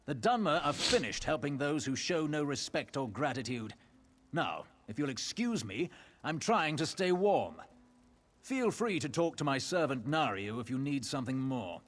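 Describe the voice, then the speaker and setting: A man playing a haughty, disdainful dark elf noble in a video game, with a sneering delivery. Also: mid-range voice, big pitch swings, moderate pace. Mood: dismissive. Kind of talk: video game character acting.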